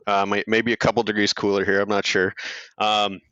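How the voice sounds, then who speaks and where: A man from Minnesota, a podcast guest on a video call, talking in a halting way with frequent pauses and restarts. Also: on the low side, flat delivery, fairly bright tone, no room sound. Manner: hesitant, lots of fillers and restarts. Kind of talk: casual podcast chat. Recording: dull, dry lo-fi audio.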